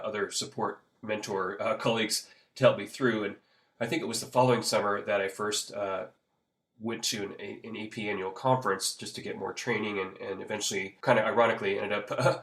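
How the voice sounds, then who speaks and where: Low-voiced man, relaxed and rambling. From Pacific Northwest. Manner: relaxed and rambling, many "uh" pauses, chuckles. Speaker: guest, school counselor. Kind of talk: conversational interview.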